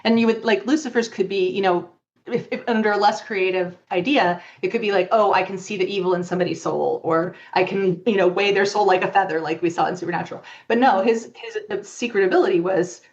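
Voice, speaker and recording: A woman's voice: mid-range voice, fast talker, muffled recording. A woman speaking as a podcast guest in a casual, rambling, opinionated conversational style. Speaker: podcast guest, fan fiction author.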